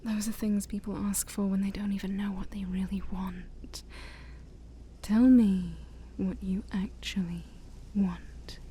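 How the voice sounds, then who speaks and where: A woman playing an ancient demon princess, haughty yet shy, in a soft-spoken ASMR roleplay that often drops to a whisper. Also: medium pitch, a little hiss or hum, natural voice. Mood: coaxing. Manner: formal, teasing, hesitant, sighing.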